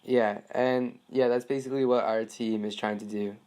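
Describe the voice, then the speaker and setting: A man's voice: medium pitch, fairly even intonation, normal speed, darkish tone, smooth. Polite teenage male student interviewer. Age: teens. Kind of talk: polite, informal phone interview. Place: phone call.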